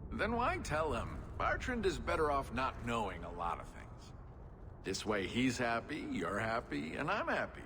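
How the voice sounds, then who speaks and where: A man's voice: medium pitch, wildly swooping pitch, moderate pace. A man voicing a wry, persuasive dwarf rogue deal-maker in a video game. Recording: reverberant hall, noticeable ambient noise.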